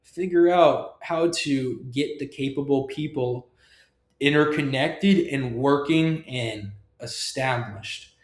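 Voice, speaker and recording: A man's voice: mid-range voice, slow pace. A middle-aged man giving an informal, emphatic, rambling tech talk, punctuated with short checking questions. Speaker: software developer presenting own project. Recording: echoey room.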